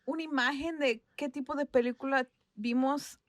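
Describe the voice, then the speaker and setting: A woman's voice: high voice, steady tone, moderate pace, no echo, clean studio sound. A woman from Mexico co-hosting a casual podcast, laughing often and dropping English words into her Spanish. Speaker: podcast co-host. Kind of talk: casual chat.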